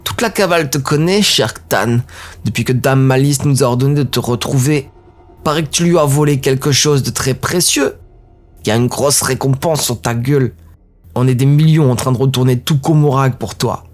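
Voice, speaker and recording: A man voicing a cocky, mocking male dark elf prisoner in an audio drama. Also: medium pitch, normal speed, voice pushed up, natural voice. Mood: taunting. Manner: taunting, sneering, then begging in panic.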